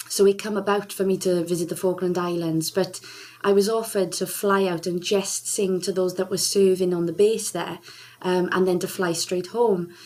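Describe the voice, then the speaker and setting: A woman's voice: on the low side, darkish tone. A young woman from Wales with a Welsh accent, an earnest singer answering interview questions with enthusiasm. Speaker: singer, charity ambassador. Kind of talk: interview guest.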